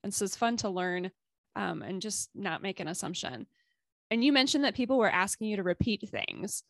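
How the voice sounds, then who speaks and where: A woman from the American Midwest with a mid-range voice, recorded close and dry with no room sound. She interviews her guest gently and attentively, with frequent soft acknowledgments. Her questions come out careful and considerate. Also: medium pitch, animated, normal speed, no room sound.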